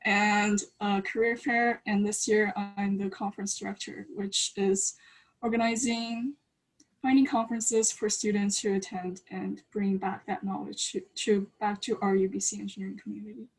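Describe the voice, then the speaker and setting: A woman in her early twenties speaking on a student panel. Her pitch is average for a woman. She grew up in China and eastern Canada and talks informally about herself. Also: flat delivery, moderate pace, rough recording. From China, then eastern Canada from age ten. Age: early 20s. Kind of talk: informal self-introduction on a panel.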